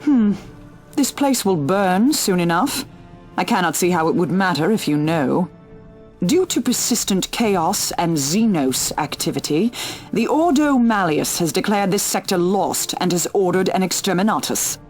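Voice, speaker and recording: A woman's voice: fairly low pitch, sing-song, measured pace, darkish tone, soft background music. Cold, stern female inquisitor. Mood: cold, resolute. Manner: formal, stern, contemptuous.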